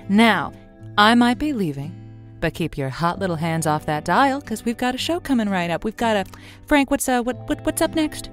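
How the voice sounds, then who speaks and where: A woman's voice: mid-range voice, expressive, moderate pace, soft background music, lightly acted. An actress playing an earnest, folksy woman who hosts a radio dating-advice show, chatty and playful. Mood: folksy showmanship. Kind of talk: audio drama acting as late-night radio host.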